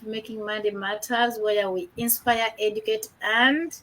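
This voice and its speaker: A woman's voice: fairly high pitch, fairly even intonation, normal speed, fairly bright tone. A woman, co-hosting an online business show with an enthusiastic welcome. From Uganda. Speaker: co-host.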